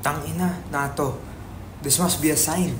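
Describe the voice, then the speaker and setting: A man's voice: fairly high pitch, steady tone, measured pace, a little hiss or hum, close to an everyday voice. A man playing a doubtful, guilt-ridden young writer in a dramatic script reading, reluctant and questioning. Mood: uneasy.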